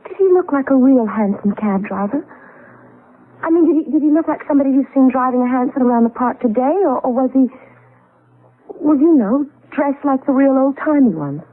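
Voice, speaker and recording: An excitable, playful wife from a 1970s radio play. She speaks in a slightly high, very animated voice with theatrical flourishes. The recording is thin and band-limited, like an old radio. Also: fairly quick, narrow, tinny audio. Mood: anxious. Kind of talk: 1970s radio drama acting.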